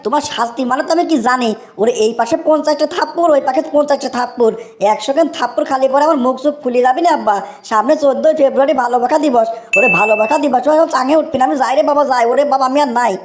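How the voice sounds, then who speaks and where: A woman voicing a broke, scheming young man in a cartoon, talking in a fast, whiny, wheedling way, with a Bogura Bangla accent. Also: high-pitched, a little hiss or hum, close to an everyday voice. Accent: Bogura dialect of Bangla.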